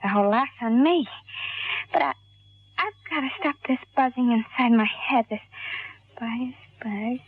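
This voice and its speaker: Tough troubled young woman patient in old radio drama, husky, slow and drawn out, cynical and overwrought. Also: a little high, dry recording. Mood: agitated, urgent. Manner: overwrought, hard-bitten slangy delivery. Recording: muffled vintage radio recording, faint music.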